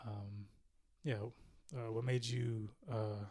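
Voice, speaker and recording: A man's voice: on the low side, little pitch movement, takes it slowly, a little mellow. A man hosting a podcast, introducing his guest in an upbeat, conversational way and reading out the guest's bio. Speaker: podcast host. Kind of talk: podcast introduction and casual chat.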